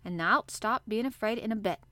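A woman's voice: mid-range voice, fairly quick, pushed and bright, no room sound, lightly acted. A woman voicing a sturdy, cheerful Yorkshire country boy in a storybook, reassuring in broad dialect. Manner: stout, reassuring dialect speech.

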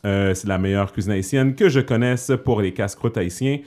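A man's voice: lowish voice, moderate pace. A man with a Quebec French accent hosting a casual podcast, chatting loosely with fillers and English phrases mixed in. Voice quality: relaxed, many fillers, slips into English phrases. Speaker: podcast host.

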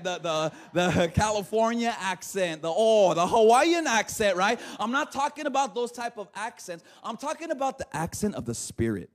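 A man, preaching a livestreamed sermon in a conversational way, often asking "right?" and laughing easily. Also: high-pitched. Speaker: pastor.

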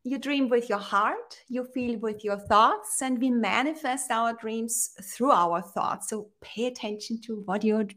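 A woman from Austria, a brand strategist and interview guest, speaking earnestly and inspirationally with slightly halting phrasing. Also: a little high, fairly bright tone. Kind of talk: conversational interview.

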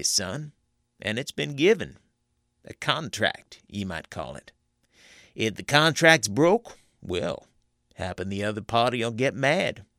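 A man's voice: medium pitch, fairly bright tone, no echo, studio quality, voice pushed up, exaggerated voice. A man voicing a scheming backwoods conjurer with a wheedling rustic drawl, read as a story. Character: scheming backwoods male conjurer, sly and coaxing. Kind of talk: audiobook character voice.

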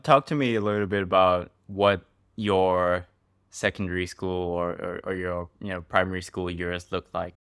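A man's voice: low-pitched, steady tone, moderate pace, a bit bright, clean tone, no echo, studio quality. A man hosting a podcast, asking rambling, searching questions in a casual interview. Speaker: podcast host.

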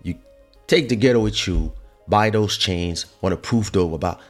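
A man's voice: sits low, normal speed, faint music behind. A man who grew up in France, speaking as he hosts a casual, opinionated monologue to viewers, emphatic and repetitive, with rhetorical questions. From born in Africa, grew up in France. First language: French. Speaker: online show host.

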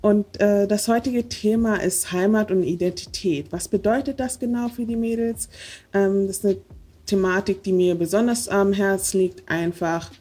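A woman's voice: medium pitch, steady tone, normal speed, dark tone, breathy edge. A woman hosting a casual podcast interview, talking with many hesitant fillers. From Germany. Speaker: podcast host.

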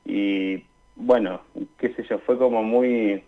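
A man's voice: fairly low pitch, steady tone, takes it slowly. Young Argentine man, dull lo-fi call. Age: young adult. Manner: hesitant, searching for words. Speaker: radio interview guest, student. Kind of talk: casual conversational interview answers. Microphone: remote call to a radio show.

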